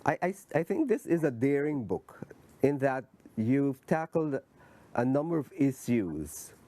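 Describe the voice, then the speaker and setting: A man hosts an interview in an unhurried, deliberate way, often saying a phrase twice before handing over. His voice is mellow and dark, at an ordinary male pitch, and his pace is very slow. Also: big pitch swings. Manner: deliberate, repeats phrases, many affirming murmurs. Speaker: interviewer, host. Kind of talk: interview questions.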